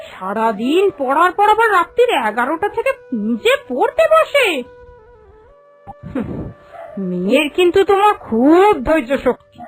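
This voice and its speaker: High, nagging cartoon mother, voiced by a woman. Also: exaggerated voice, low-quality recording. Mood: astonished. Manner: scolding, expressive, exclamatory. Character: nagging, exasperated, sharp-tongued village mother. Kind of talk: animated cartoon voice acting.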